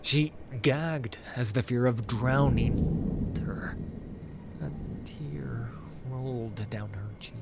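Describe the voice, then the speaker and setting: A man narrating a fantasy story in a dramatic, deliberate, intense scripted style. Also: medium pitch. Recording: clear noise and ambience behind. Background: ambience and music.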